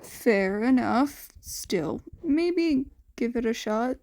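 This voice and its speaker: A woman's voice: on the high side, animated, very slow, a little mellow, heavily acted. Woman playing an awkward, kind teen boy. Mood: gently advising. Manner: casual, understated, slightly awkward. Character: awkward, kind, low-key teenage boy, protective friend.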